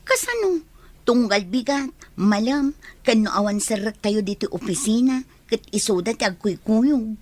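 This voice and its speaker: A woman's voice: a little high, lively intonation, moderate pace, darkish tone, dry recording. A young woman playing a shy, wistful girl hiding an unrequited love, in a radio drama.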